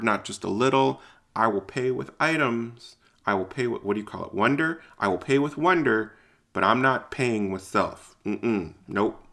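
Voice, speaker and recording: A man's voice: mid-range voice, vocal fry, a bit bright. A man performing first-person audio drama narration and dialogue in an informal, hesitant way, as if thinking aloud.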